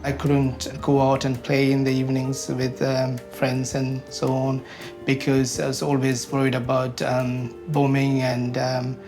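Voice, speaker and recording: Sri Lankan man, interviewee, gravelly, flat delivery, halting with fillers, music bed under him. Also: medium pitch. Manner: hesitant, many ums and uhs. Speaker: interviewee, community advocate. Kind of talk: recorded interview, reflective.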